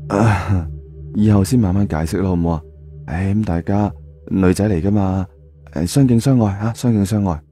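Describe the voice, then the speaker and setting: A man's voice: sits low, normal speed, mellow, soft background music, lightly acted. A man voicing an evasive, wheedling young boyfriend in an audio story, hesitant and placating. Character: evasive, wheedling young man caught between two girlfriends.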